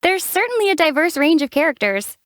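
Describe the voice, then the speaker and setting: Game lead: young female traveler, calm and deadpan teasing, high lively voice, dry booth. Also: a little fast, no room sound, exaggerated voice. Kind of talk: studio game voice acting. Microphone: studio mic.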